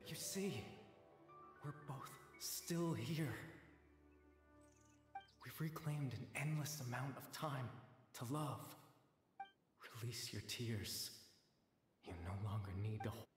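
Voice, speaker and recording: An actor playing a mournful man who recites a farewell poem in a slow, hushed, tender manner. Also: medium pitch, natural voice. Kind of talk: solemn poetic recitation. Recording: echoey hall with music.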